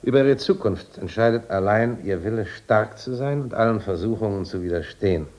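An actor playing a smugly genial, probing asylum doctor in a 1950s German radio drama, speaking in a patronising, unhurried manner. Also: male voice, lowish voice, a little mellow, close to an everyday voice. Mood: patronising, measured. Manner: patronising, unhurried, murmuring little questions. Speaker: medical officer and psychiatrist.

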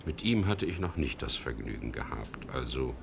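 An actor playing a wry, world-weary middle-aged English skipper who narrates a radio crime drama in a gravelly, laconic voice. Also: male voice, a bass voice, very animated, moderate pace, a little hiss or hum, exaggerated voice. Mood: wry, reflective. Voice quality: gravelly, husky. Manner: laconic, dry, ironic.

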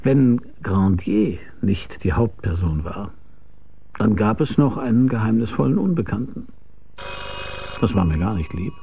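A man's voice: fairly low pitch, animated, a little slow, vocal fry, dark tone, a little hiss or hum. An actor playing a hard-boiled, laconic private detective who narrates his own case in a German radio crime drama. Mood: brooding, wry. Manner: laconic, dry, probing.

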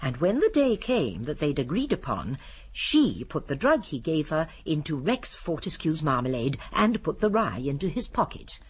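A woman playing a shrewd, genteel elderly English lady sleuth in a radio drama, speaking primly and precisely with a gently assured manner. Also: low-pitched, big pitch swings, normal speed, slightly rough, heavily acted. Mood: explaining. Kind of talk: BBC radio drama acting.

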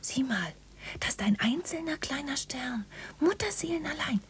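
A woman playing a dreamy, tender young woman in a German radio play, speaking in hushed whispers. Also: high voice, expressive, normal speed, slight background noise.

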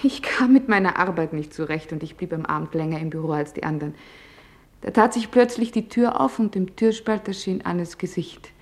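A low-pitched female voice , performed by an actress, tells her memories as a haunted office worker in a radio play. She is intimate and measured, often hushed, and leaves deliberate pauses. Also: expressive, natural voice. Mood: hushed, suspenseful. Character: woman office worker recalling wartime events, haunted and intimate. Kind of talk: dramatized first-person narration in a radio play.

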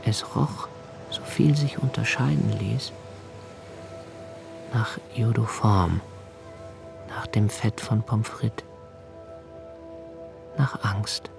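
An actor playing an anxious, solitary young writer in a literary radio play monologue, halting and pensive, sometimes sinking to near-whispers. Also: male voice, lowish voice, gravelly, over music, full character voice. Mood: brooding, observant.

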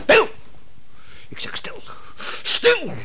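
A man's voice: drawn-out words, bright, forceful tone, whispered. An actor playing a suspicious, exasperated husband and father in a radio play, terse and indignant. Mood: angry, suspicious.

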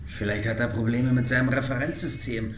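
A man's voice: mid-range voice, fairly even intonation, fairly quick, background noise, close to an everyday voice. Guy playing matter of fact techie crewman, radio play, mid voice, roomy echo. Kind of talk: German radio drama acting.

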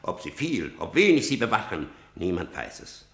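A man's voice: lowish voice, huge pitch swings, moderate pace, echoey room. An actor playing an old blind male seer, speaking in grave, cryptic verse. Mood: probing. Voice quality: gravelly, solemn declamation. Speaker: actor in radio drama. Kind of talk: stage verse drama.

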